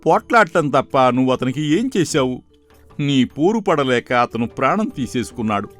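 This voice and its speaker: Man playing a lively, admonishing male sage. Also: medium pitch, moderate pace, soft background music, lightly acted. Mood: stern reproach. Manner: admonishing, explanatory. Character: stern male sage, secretly a scheming magician in disguise.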